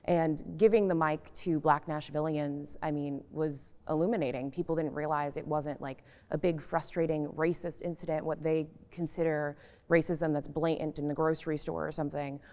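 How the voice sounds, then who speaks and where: A woman's voice: low voice, steady tone, normal speed, dark tone. A woman speaking casually as a public radio journalist on a discussion panel. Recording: long echoing reverb.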